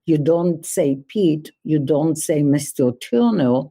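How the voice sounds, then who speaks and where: A woman born in Poland and raised in Israel speaks slowly in a low, mellow voice. She tells her stories in a thoughtful, measured way, as a guest in a podcast conversation. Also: lively intonation. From born in Poland, grew up in Israel. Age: older. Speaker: guest, security expert.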